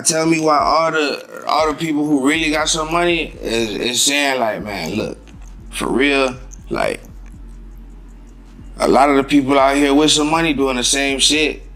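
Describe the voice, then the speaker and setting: A man's voice: medium pitch, normal speed, fairly bright tone, soft background music. Slangy man in emphatic casual chat.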